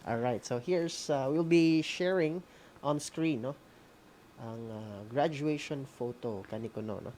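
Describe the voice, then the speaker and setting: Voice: medium pitch, measured pace, darkish tone, slight background noise. A host with a Visayan Filipino accent running a casual online class, switching codes and often tagging phrases with "no?". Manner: frequent "no?" tags, informal. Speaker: host of a school-on-the-air program.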